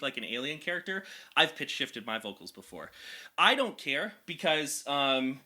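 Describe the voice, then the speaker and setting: A young man with a higher speaking voice, chatting energetically as a vocal coach and explaining vocal anatomy in technical terms. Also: bright, forceful tone. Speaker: vocal coach reacting to music. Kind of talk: casual reaction and explanation.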